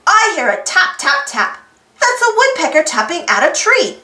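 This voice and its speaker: A woman reading a children's storybook aloud in an expressive, sing-song way, putting simple questions to young listeners. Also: very high-pitched, normal speed, roomy sound, soft background music. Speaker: storybook reader. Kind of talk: children's read-aloud narration.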